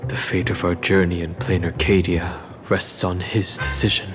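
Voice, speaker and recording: A serious, thoughtful male adventurer from a video game, played by a man. His voice is very low, and his delivery is measured and inquiring. Music is clearly heard behind him. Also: flat delivery, close to an everyday voice. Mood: grave. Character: serious, thoughtful male member of traveling party. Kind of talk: English video game voice acting. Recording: music under the voice.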